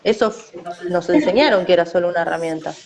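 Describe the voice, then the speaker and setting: Rioplatense woman answering over crowd chatter. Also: on the low side. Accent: Rioplatense Spanish. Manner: measured, often adds "no?" tags. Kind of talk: interview answers.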